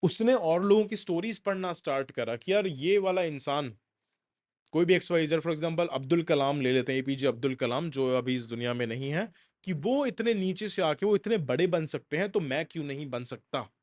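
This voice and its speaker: A man's voice: on the high side, animated, moderate pace, a little mellow, no echo, studio quality. A young man giving a motivational self-help lecture, talking straight at the listener and repeating points for emphasis. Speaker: self-help course instructor.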